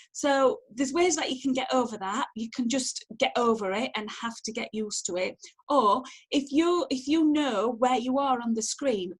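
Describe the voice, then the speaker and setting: A middle-aged woman with a Sheffield accent giving an informal online training talk from home, chatty, animated and direct. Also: fairly high pitch, rough recording. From Sheffield, England. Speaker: empowerment coach giving online networking training. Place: at home on a video call. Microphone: video call, wearing headphones.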